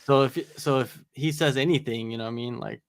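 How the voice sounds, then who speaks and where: Gravelly laid-back man chatting. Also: mid-range voice, fairly quick, no echo. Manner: laid-back, rambling with many fillers. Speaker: MMA interviewer and podcast co-host. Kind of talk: casual chat on a livestream.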